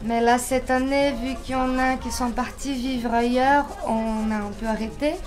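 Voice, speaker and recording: Brazilian woman in her twenties, slightly high. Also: fairly even intonation, a little mellow, soft background music. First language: Portuguese. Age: 20s. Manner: unhurried, searching for words with repeats. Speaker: interviewee. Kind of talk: casual interview at home.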